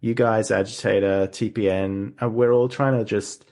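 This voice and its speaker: A man with a fairly low voice chats casually as a podcast host. He is agreeable and a little hesitant, wandering through his sentences as he thinks. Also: fairly even intonation, normal speed, a little mellow.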